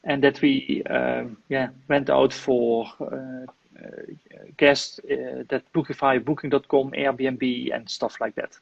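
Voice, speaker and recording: A man's voice: medium pitch, little pitch movement, takes it slowly, creaky, lo-fi sound. A Dutch man with a Dutch accent, speaking English as a guest in a live online interview, hesitant with many fillers and restarts, over a video call. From Netherlands. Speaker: guest, IT manager and property investor.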